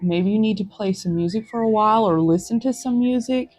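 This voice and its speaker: A woman hosting a tarot reading, talking to viewers in a gentle, reflective, encouraging way. She is recorded outdoors in a forest. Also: medium pitch, brisk, faint music behind. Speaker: tarot reader.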